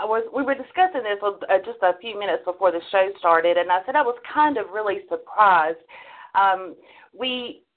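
A woman's voice: mid-range voice, phone-quality sound. A middle-aged woman co-hosting a call-in show, chatting casually to listeners in a folksy, emphatic way over band-limited call audio.

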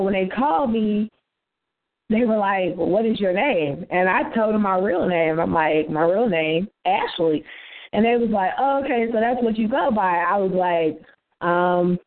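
A soft-voiced woman, a poet being interviewed, chatting casually and telling stories with lots of quoted dialogue. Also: mid-range voice. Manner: chatty, quotes herself and others. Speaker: interview guest, spoken word poet. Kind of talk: casual interview chat, storytelling. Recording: tinny phone-line internet radio sound.

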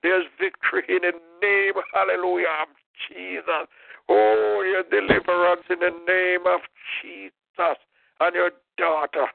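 A man's voice: high-pitched, flat delivery, unhurried, creaky, no room sound. A man leading a fervent intercessory prayer, impassioned, repeating "oh God" and "in the name of Jesus". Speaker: prayer leader. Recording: tinny phone-line prayer call.

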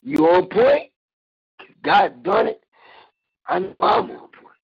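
A hoarse, raspy-voiced man calling in to a radio talk show, preaching with animated, swooping delivery. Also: high-pitched, slow. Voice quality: raspy, gravelly. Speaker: caller and fellow radio host. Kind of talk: call-in radio chat. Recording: dull lo-fi call-in line.

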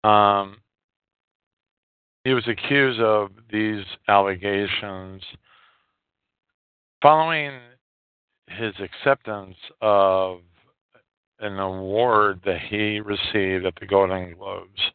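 A man hosting a podcast, giving casual news commentary in a halting, hesitant way with pauses. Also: on the low side, smooth, no echo. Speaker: podcast host. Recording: narrow phone-like audio, dry.

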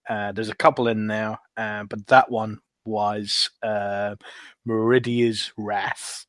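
Male podcast panelist, slightly low voice, dry close sound. Casual, halting explainer. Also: level tone, fairly bright tone, dry recording. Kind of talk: casual chat about gameplay.

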